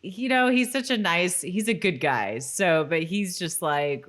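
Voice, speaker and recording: Female podcast co-host, low register, informal rewatch-style chat. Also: lively intonation, measured pace, a bit bright. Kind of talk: casual chat about a TV show.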